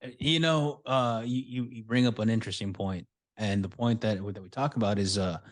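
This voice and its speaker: A man's voice: on the low side, fairly even intonation, brisk. A man hosting a podcast, rambling through questions with fillers and restarts. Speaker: podcast host. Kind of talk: casual interview talk.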